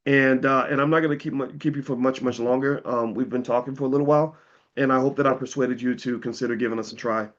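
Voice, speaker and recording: A slightly hoarse man hosting, giving a casual, persuasive sales pitch to viewers in plain-spoken talk, often repeating words as he restarts. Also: steady tone, fast, lo-fi sound. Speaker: host pitching an investing course.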